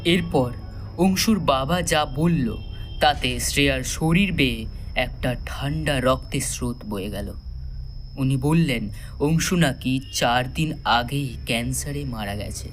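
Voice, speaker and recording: A man narrating a scripted story solemnly and dramatically, with measured pauses. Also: mid-range voice, soft background music.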